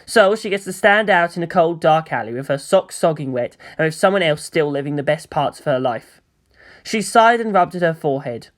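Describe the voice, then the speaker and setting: A narrator reading fan fiction aloud, changing pitch and pace for emphasis and voicing the characters. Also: lively intonation, brisk.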